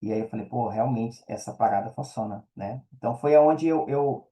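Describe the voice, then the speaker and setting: A man with a very dark, soft-edged timbre and an average pitch speaks with a Brazilian accent. He is a podcast guest telling a personal story in casual, colloquial language, often adding little tag questions. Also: moderate pace.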